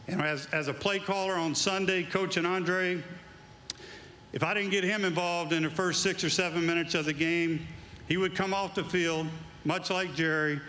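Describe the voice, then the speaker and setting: A man's voice: on the high side, sharp tone, echoey room, a little hiss or hum. A middle-aged man, a former coach, giving an induction speech at an outdoor awards event, telling folksy stories into a handheld mic. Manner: folksy storytelling, building to emphatic tribute.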